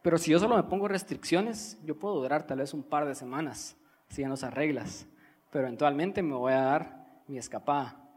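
This is a man preaching a sermon in Guatemalan-accented Spanish. His voice sits a little higher than average for a man. He speaks emphatically, coming back to key phrases to drive them home. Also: a little fast, breathy edge.

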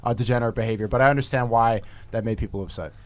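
A young man who is a guest on a podcast, talking in a joking way and mocking people with quick impressions. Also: mid-range voice. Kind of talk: casual podcast chat.

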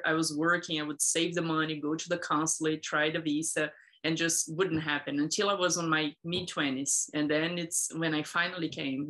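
A woman in her thirties from Brazil talks casually in a lowish voice, laughing often and filling pauses with "like". Her talk is loose and chatty. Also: pushed and bright, low-quality recording. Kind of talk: casual conversation.

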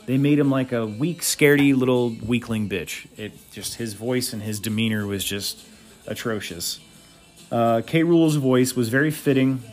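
A man's voice: medium pitch, steady tone, moderate pace, faint music behind. A man hosting a solo podcast, giving blunt, opinionated reviews with crude humor. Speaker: podcast host.

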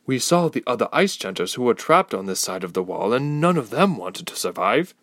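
A man's voice: mid-range voice, very animated, a little fast, fairly bright tone, no echo, clean studio sound. A man voicing a stern, suspicious female warrior on a council who speaks sharply and accusingly.

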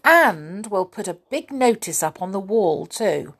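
A woman's voice: mid-range voice, measured pace. A woman voicing Jinky, an eager little village man from a storybook. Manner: excited, lively.

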